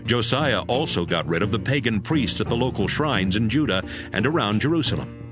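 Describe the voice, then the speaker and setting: A man narrating scripture as a scripted, dramatized audiobook in a measured storytelling style. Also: medium pitch. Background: prominent music.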